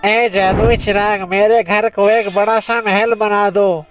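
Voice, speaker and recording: A man's voice: high-pitched, steady tone, slow, loud music behind the voice. A man playing a foolish, envious village neighbour in a cartoon, with a scheming, exclamatory way of talking. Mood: greedy. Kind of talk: Hindi cartoon voice acting.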